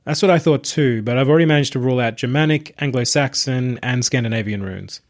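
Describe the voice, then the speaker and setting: A man's voice: medium pitch, fast, lightly acted. A man voicing an eager, persuasive young male archaeology student in an audiobook, with a keen, playful manner. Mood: keen, explanatory. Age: young adult. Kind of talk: audiobook character voice.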